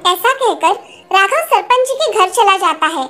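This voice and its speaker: A woman's voice: extremely high pitch, animated, measured pace, a bit bright. A woman reading a scripted moral story for children as narrator. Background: music.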